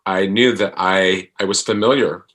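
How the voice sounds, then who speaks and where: A man speaking as an interview guest, reflective and halting as he searches for his words. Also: low-pitched, steady tone, fairly bright tone, slightly rough. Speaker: podcast guest, actor.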